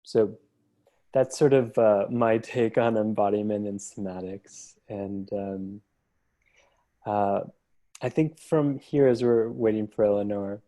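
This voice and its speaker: Mellow-voiced man moderating a panel. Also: fairly low pitch, slow pace. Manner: thoughtful, searching, many restarts. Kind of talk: reflective panel discussion. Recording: online video call audio.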